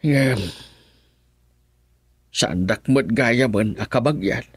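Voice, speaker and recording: A man's voice: mid-range voice, takes it slowly, husky, no echo. An actor playing an earnest homeless man seeking his kin in an Ilocano radio drama, with an Ilocano Filipino accent and a confiding manner. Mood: sorrowful pleading.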